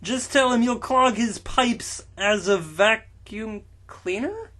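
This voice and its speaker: A man's voice: high-pitched, slow pace, bright, forceful tone, pitched above the performer's own voice, exaggerated voice. A man voicing a friendly male groundskeeper saying goodbye to a pupil in a comic reading.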